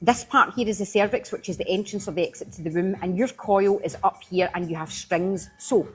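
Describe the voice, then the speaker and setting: A woman's voice: mid-range voice, brisk. A woman with a Glaswegian accent hosting a casual live-stream product demo, chatting and thanking viewers by name as she goes. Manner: chatty, answers viewers by name while demoing. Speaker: live-selling host.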